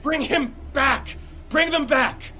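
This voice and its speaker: Man acting a distraught, high-voiced crash survivor. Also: pushed and bright, full character voice. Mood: desperate. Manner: halting, repeating words in desperation. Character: Joff, panicked, grief-stricken young male crash survivor. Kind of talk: dramatic screenplay table read. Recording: noisy table-read room recording.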